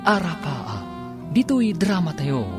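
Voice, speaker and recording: A man's voice: fairly high pitch, sing-song, takes it slowly. A man announcing a radio drama in a scripted broadcast style. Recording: music under the voice. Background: loud music.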